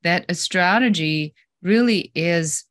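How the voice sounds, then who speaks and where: German-accented woman, kinda low voice, blunt and straight to the point, dry close sound. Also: takes it slowly, a bit bright, no echo. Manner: direct and straightforward, explains with everyday examples. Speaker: guest, business coach. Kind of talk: conversational interview answers.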